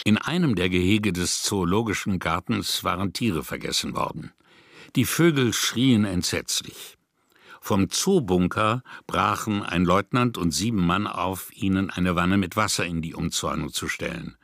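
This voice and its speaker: A man with a deep, low voice reads narration for a radio play. The delivery is scripted storytelling. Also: unhurried, creaky, fairly bright tone, dry recording, studio quality.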